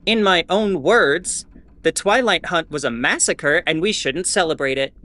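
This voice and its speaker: A woman playing a weary, sardonic starship broadcaster in an audio drama, giving history in a deliberate, grudging, pointed way. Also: lowish voice, expressive, a bit bright, a little hiss or hum. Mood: exasperated, grudging. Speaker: ship's bulletin broadcaster. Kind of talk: in-character educational monologue, audio fiction.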